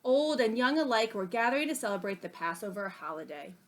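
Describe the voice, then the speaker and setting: A woman reading a children's story aloud in an expressive, animated way, as a storybook narrator. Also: fairly high pitch, a little fast. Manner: expressive, acts out dialogue between plain narration. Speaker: storybook reader.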